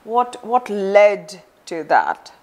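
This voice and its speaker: A woman hosts a studio talk show, speaking slowly and deliberately into a lapel mic. Her questions come in careful pieces with small restarts. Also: medium pitch, very animated. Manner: slow, measured questions with restarts. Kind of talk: studio interview. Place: TV studio set.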